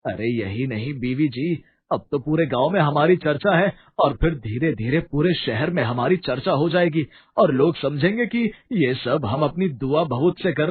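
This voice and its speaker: A man playing an excitable poor village husband in a dramatized story, with theatrical exclamations. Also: a little high, breathy edge. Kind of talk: dramatized Hindi moral story acting. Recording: dull, muffled audio under music.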